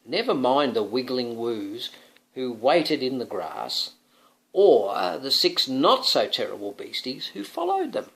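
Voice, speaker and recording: Male reader for a children's picture book. Very animated intonation, playful drama, parental asides. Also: mid-range voice, slow, slight background noise, poor audio. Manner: dramatic, playful, often asking the listener questions. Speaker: father reading a picture book to his young son.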